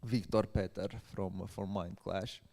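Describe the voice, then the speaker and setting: A man hosting a live workshop interview at a festival, speaking in a hesitant, halting way. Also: sits low, fairly even intonation, vocal fry, warm tone. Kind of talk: live event introduction and interview. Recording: echoey hall with long reverb.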